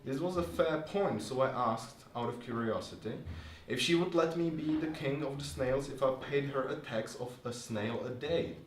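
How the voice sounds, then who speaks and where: A man's voice: medium pitch, normal speed, few pauses. A man speaking to an audience at a live literary reading in a bar, as reader and host. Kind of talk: reading prose fiction aloud to an audience. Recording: roomy, distant live recording with faint noise.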